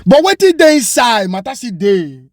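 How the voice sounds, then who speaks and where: A man's voice: very high voice, sing-song, moderate pace, dry recording, clean studio sound. A Nigerian man with a Pidgin English accent giving a passionate commentary, firing off rhetorical questions and slipping into Yoruba. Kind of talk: opinion commentary monologue.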